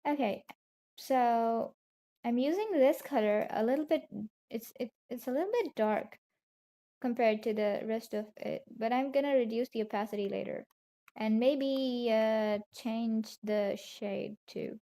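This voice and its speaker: Raspy, sleepy-voiced woman drawing on stream. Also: on the high side. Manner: soft, unhurried commentary on her own drawing. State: just woke up from a nap. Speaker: digital art streamer. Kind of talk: casual narration while drawing.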